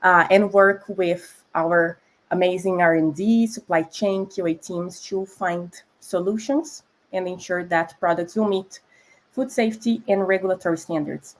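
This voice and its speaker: A woman from Brazil with a Brazilian Portuguese accent, a food scientist guest explaining regulations in a clear, patient way. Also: on the low side, steady tone, unhurried, poor audio. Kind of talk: explanatory conversation.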